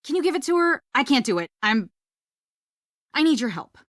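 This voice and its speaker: An earnest young woman in a video game, nervy and chatty. Her voice is a touch high. The recording is close and free of room sound. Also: sing-song, moderate pace, a bit bright, no echo. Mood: pleading, awkward. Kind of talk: game voice acting.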